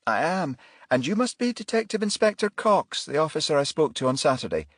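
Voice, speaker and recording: A haughty woman in her late forties, played by a male narrator in a crime audiobook. The voice is lifted high and moves freely, with cool condescension and a sardonic edge, recorded close and dry. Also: very animated, brisk, no echo. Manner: condescending, dispassionate.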